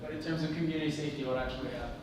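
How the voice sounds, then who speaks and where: A man's voice: medium pitch, fairly even intonation, racing. A man speaking informally as a forum panelist, putting questions to the audience in a casual, tentative way. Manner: casual, questioning, hedging with "like" and "right". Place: large indoor arts venue. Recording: noisy, echoey hall recorded from far off.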